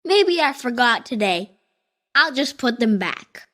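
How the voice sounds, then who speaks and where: A kind little boy in a bedtime storybook, played by a woman, speaks in a high, swooping voice. He is excitable and friendly, with a childlike delivery. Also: full character voice. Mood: sheepish. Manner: slow, expressive, childlike delivery.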